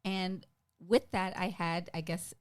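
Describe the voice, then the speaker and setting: A chatty middle-aged American woman speaks as a podcast guest, her voice sitting a little low. She talks freely and pokes fun at herself, with plenty of fillers. The sound is dry and close. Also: fairly even intonation, slow, clean tone, no echo. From United States. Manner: chatty, self-deprecating, many fillers. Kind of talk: casual interview chat.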